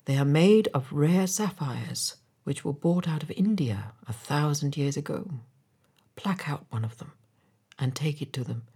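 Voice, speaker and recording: A woman voicing a gentle, sorrowful statue of a prince, compassionate and quietly commanding, in a solemn storybook manner. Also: low voice, lively intonation, measured pace, husky, exaggerated voice. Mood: sorrowful, selfless. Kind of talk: storybook character voice.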